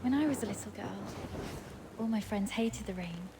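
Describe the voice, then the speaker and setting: An actress playing a gentle, wistful young daughter in a reflective game monologue. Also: female voice, medium pitch, normal speed, background noise, natural voice. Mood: wistful, sorrowful. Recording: clear music behind the voice.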